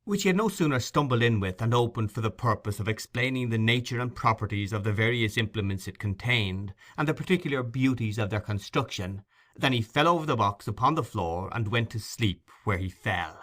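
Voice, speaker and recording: A man narrating classic fiction as a scripted audiobook, in a measured, articulate storytelling manner. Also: medium pitch, lively intonation, a bit bright.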